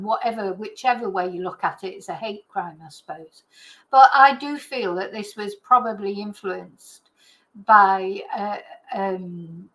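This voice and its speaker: A woman's voice: mid-range voice, fairly bright tone, rough recording. A woman with an English accent giving her opinions in a casual, rambling and emphatic chat, as the host of her own show. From Britain. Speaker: true crime chat host.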